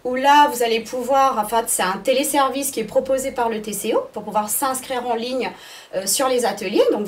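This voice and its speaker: A woman's voice: fairly high pitch, darkish tone, far from the mic. A woman answering interview questions as the director of an arts school, explaining things phrase by phrase with hesitations.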